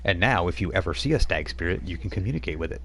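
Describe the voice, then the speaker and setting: A man's voice: low-pitched, animated, racing, a bit bright. A man running a tabletop role-playing game on a live stream, rambling with frequent restarts as he narrates. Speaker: game master.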